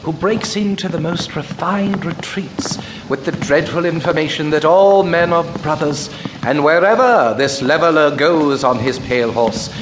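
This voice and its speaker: An actor playing a mild, bumbling village priest in an audio drama, hesitant, absent-minded and polite. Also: male voice, fairly high pitch, a little slow, lightly acted. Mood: solemn. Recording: clear noise behind the voice.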